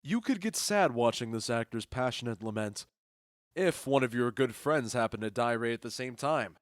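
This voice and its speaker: An actor playing a witty, indulgent duke who comments wryly in a comic audio drama. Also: male voice, medium pitch, normal speed, no room sound, studio quality, close to an everyday voice. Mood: wry, amused. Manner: wry, amused commentary. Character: witty, indulgent duke watching an amateur play. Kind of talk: modernized Shakespeare audio drama comedy.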